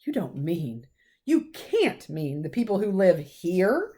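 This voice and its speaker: A woman's voice: on the low side, sing-song, slow pace, warm tone, breathy edge, heavily acted. Lady doing a strict older witch teacher in a kids book, indignant, stresses her words, bit anxious. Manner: emphatic, indignant.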